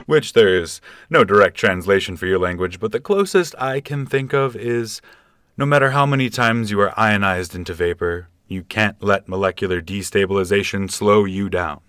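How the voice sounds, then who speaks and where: An actor playing an earnest, defensive galactic presidential candidate with formal politician's talk in a comic sci-fi radio play. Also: male voice, on the low side, normal speed, fairly bright tone, clear, resonant tone, no room sound, studio quality, close to an everyday voice. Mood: earnest, modest.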